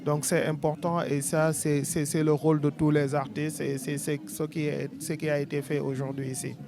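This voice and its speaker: A man with a Senegalese French accent, interviewed at an outdoor event, speaking in an earnest, measured, rhetorical way. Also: on the high side, little pitch movement, creaky. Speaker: artist interviewee. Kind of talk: street interview, spoken-word declamation. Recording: crowd noise behind.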